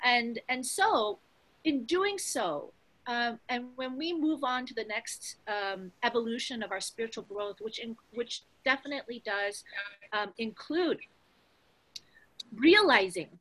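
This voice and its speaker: Bright-voiced, earnest woman in conversation. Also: a little high, animated, a little slow, rough recording. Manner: earnest, emphatic, casually sweary. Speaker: spiritual teacher.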